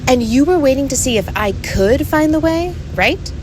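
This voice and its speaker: A man's voice: extremely high pitch, lively intonation, moderate pace, background noise, pitched above the performer's own voice. A man voicing a thoughtful, determined young girl who speaks plainly and earnestly, in a storybook reading. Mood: modest, earnest.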